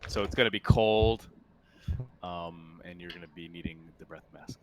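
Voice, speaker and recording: A man running a tabletop roleplaying game as game master, thinking aloud in a halting, unscripted way. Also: sits low, poor audio.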